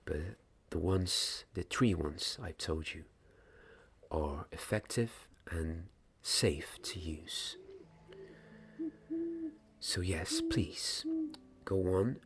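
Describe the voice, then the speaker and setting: A gentle, patient wand maker played by a young man guides an apprentice in a very low, soft voice that often drops to a whisper. The delivery is slow and deliberate, in ASMR roleplay style. Noticeable background noise sits behind the voice. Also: very animated, full character voice. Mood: calm, instructive. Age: young adult. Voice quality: soft, breathy, partly whispered. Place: small dim indoor room. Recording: clear background noise and ambience.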